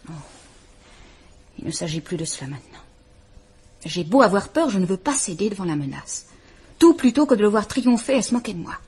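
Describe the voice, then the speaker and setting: An actress playing a frightened but stubborn wife in a French radio drama. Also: female voice, medium pitch, very animated, a little fast, pauses often, a little mellow, slightly rough. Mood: defiant.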